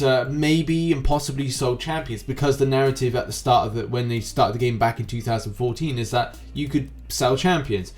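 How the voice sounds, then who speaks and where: A man presents gaming news as a show host, with a medium-pitched voice. His commentary is wordy and rambling, delivered like an online video presenter. Music plays behind him. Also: darkish tone. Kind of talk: YouTube gaming news commentary, semi-scripted. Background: background music.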